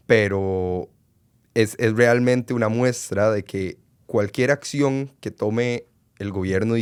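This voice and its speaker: A man in his twenties from Costa Rica, a podcast guest speaking earnestly with frequent pauses and fillers. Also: fairly low pitch, a little mellow, smooth. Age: 20s. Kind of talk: conversational interview.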